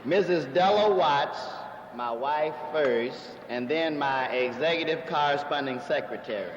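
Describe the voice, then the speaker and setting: A man's voice: a little high, measured pace, background noise. Formal guy announcing club officers, echoey hall, dull sound, some crowd. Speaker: club officer introducing members.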